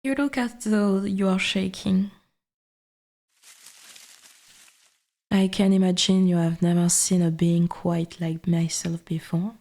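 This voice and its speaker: A woman voicing an ancient, dominant forest god in an ASMR roleplay, speaking in formal, archaic wording with a breathy half-whisper and quiet menace. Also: mid-range voice, lightly acted. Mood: curious, looming.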